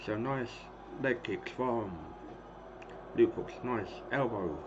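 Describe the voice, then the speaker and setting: A hoarse man in his thirties casually calling the action of a wrestling game he is streaming, rambling and clearing his throat often. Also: medium pitch, slow. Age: 30s. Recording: dull lo-fi stream audio with clear noise.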